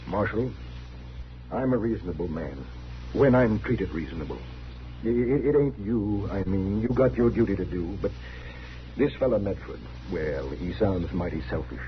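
Folksy, stubborn peddler on old radio. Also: male voice, deep voice, animated, normal speed, dull audio. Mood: aggrieved, stubborn. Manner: folksy, rustic, insistent. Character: stubborn, aggrieved travelling tonic peddler, folksy man. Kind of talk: 1950s radio western acting. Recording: band-limited old radio recording, faint noise.

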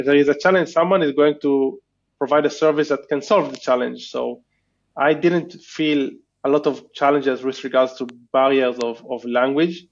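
Thoughtful, hesitant male guest from Israel; slightly higher voice, dull lo-fi recording. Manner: hesitant, thoughtful, many restarts and fillers. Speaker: guest entrepreneur. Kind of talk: casual interview chat.

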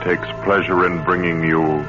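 A man's voice: deep voice, little pitch movement, a little slow. A man delivering a scripted introduction as a formal, portentous 1940s radio announcer. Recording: muffled vintage radio recording, loud music. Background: loud music.

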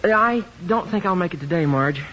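A man's voice: high voice, animated, a little fast, pushed and bright. An actor playing an eager, breezy young husband and salesman in a 1950s radio comedy. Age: young adult. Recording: muffled, noisy 1950s radio recording.

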